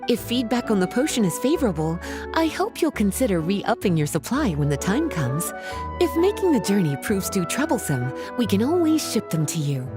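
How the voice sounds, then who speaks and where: A woman's voice: medium pitch, big pitch swings, normal speed, music in the background, lightly acted. A woman voicing an elegant, teasing grown-up sorceress in a video game, coy, honeyed and playful. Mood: gracious. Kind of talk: English video game voice acting.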